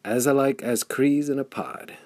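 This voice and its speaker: A man's voice: mid-range voice, normal speed, a little mellow, dry recording, voice pushed up, close to an everyday voice. A man voicing a grave, bitter commander in an audiobook, speaking in formal, emphatic declamation. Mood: grim, weary. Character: grave, bitter male commander warning of raiders.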